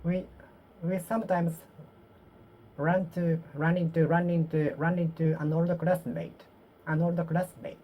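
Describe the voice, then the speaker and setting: A Japanese woman with a Japanese accent practicing English aloud to herself, halting and repeating phrases as she corrects them. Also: fairly low pitch, flat delivery, highs cut off, slight background noise. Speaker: vlogger. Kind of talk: solo English speaking practice, talking to herself.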